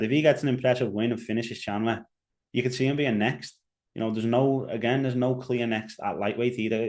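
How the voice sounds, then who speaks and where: A young man with a Liverpool accent giving casual solo commentary as a podcast host, rambling with fillers and restarts. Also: fairly low pitch. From England.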